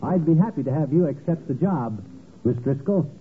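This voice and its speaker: A man's voice: a little high, expressive, normal speed, phone-quality sound, natural voice. A man playing a boyish, bragging, folksy American husband in a 1940s radio comedy. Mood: cocky, self-important. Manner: blustering, folksy, slangy. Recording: scratchy, noisy, band-limited old radio recording.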